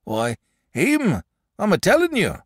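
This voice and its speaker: A fretful middle-aged country shepherd, put on by a male storyteller, rambling in rustic speech with excitable swoops of pitch. The voice is recorded close and dry, with no room sound. He talks as a storybook figure. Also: slow, breathy edge, dry recording, exaggerated voice. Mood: insistent.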